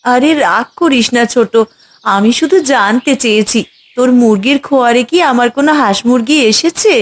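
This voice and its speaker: A woman's voice: fairly high pitch, moderate pace, natural voice. A woman voicing a distraught village mother in a cartoon, wailing and pleading over her stolen poultry.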